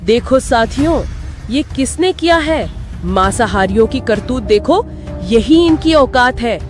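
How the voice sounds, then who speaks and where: A woman's voice: a little high, animated, measured pace. A woman voicing a cunning, manipulative female fox in a cartoon, with dramatic delivery. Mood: feigned outrage. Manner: sly, manipulative, theatrically dramatic. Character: cunning scheming female fox plotting to rule jungle. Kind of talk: Hindi cartoon voice acting. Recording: background music behind the voice.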